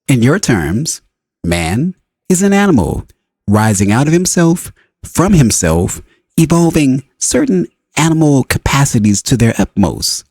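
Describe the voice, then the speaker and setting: Man narrating with lively, swooping intonation. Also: medium pitch, no room sound, clean studio sound. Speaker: audiobook reader. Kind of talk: scripted spiritual book narration.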